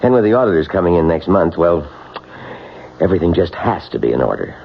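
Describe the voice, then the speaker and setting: An actor playing a kindly, concerned office boss in an old radio drama, with a measured, slightly halting, reassuring manner. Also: male voice, low-pitched, sing-song, vocal fry, exaggerated voice. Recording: muffled old radio recording, faint noise.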